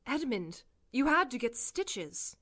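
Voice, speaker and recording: A woman playing a kindly, genteel mayor in an audio drama, speaking in a gentle, motherly way. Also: fairly high pitch, big pitch swings, slow, exaggerated voice.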